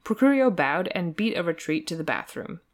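A woman's voice: lowish voice, big pitch swings, a little fast. A woman co-hosting a podcast, moving between dramatic storybook narration and casual, amused chat. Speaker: podcast co-host and reader.

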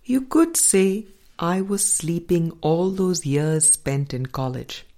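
A low-voiced woman reads a spiritual audiobook at an unhurried pace. Her phrasing is deliberate and measured, with frequent pauses between short phrases. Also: lively intonation, dark tone. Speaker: audiobook reader. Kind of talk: scripted spiritual audiobook narration.

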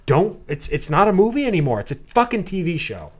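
A man co-hosting a podcast in casual chat, complaining in a deadpan, grumpy way. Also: sits high, brisk. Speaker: podcast co-host. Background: music playing.

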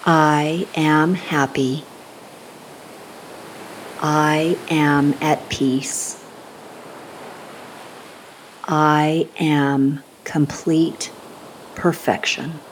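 A woman guiding a scripted meditation in a soothing, unhurried manner, giving gentle instructions with long pauses. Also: deep voice, little pitch movement, a little hiss or hum, low-quality recording. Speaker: meditation guide.

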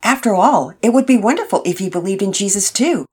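A woman playing a soft-spoken, devout mother who speaks gently and a bit hesitantly. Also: medium pitch, expressive, fairly quick, slightly rough, voice pushed up. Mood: gentle.

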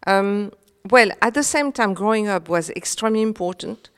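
A woman from Réunion, a Creole speaker, speaking earnestly as an interview guest, halting with many fillers and restarts. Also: mid-range voice, a bit bright, clean tone, big-room sound. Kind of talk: reflective conversational interview.

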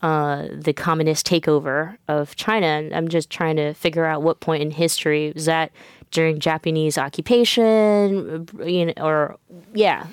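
A woman talk show host with a low, smooth voice. She talks in a chatty, loose way full of fillers and restarts. The sound is dry and close. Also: steady tone, normal speed, dry recording. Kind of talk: casual interview.

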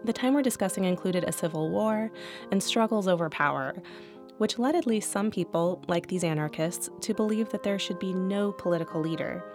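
A woman hosting a podcast and reading scripted narration in a clear, even way. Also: mid-range voice, fairly quick, over music. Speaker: podcast host.

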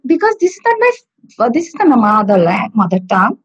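A woman from Bangladesh whose first language is Bangla, talking in a halting way with many fillers and restarts as a learner on a video call. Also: on the high side, sing-song, husky, lo-fi sound. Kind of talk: casual video-call interview. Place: home in Stockport.

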